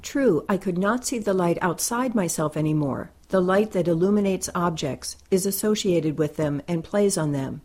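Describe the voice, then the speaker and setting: Low-voiced woman reading an essay. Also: normal speed, hardly any pauses, darkish tone. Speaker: reader. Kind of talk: reading a first-person essay aloud.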